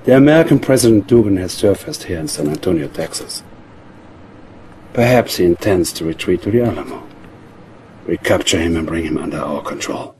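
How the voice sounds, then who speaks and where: An actor playing a middle-aged, coldly calculating Soviet commander, speaking in a measured, menacing way. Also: male voice, low voice, lively intonation, a little mellow, a little hiss or hum, low-quality recording. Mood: solemn, commanding.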